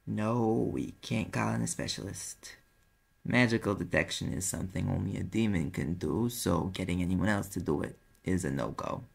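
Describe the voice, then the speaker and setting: A very low, heavily creaking male private eye, acted by a man with a New York accent. He is a brash, sardonic demon in an audio drama. His delivery is casual and defensive, with a rough husky edge. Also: normal speed, exaggerated voice. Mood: explaining, weary. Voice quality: gravelly, husky. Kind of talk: audio roleplay acting, conversational.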